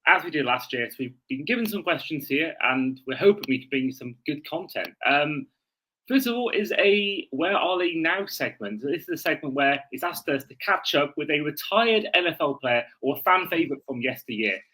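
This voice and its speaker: Male sports podcast co-host, bright forward tone, slightly high pitch, chatty and informal. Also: expressive, moderate pace, breathy edge, low-quality recording. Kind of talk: casual podcast chat.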